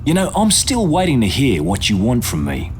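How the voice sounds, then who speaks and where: A man's voice: medium pitch, wildly swooping pitch, moderate pace, a little mellow, a little hiss or hum. An actor playing a tough, impatient male survivor in a video game, with an informal, blunt and sardonic delivery. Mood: suspicious. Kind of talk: dramatic video game cutscene acting.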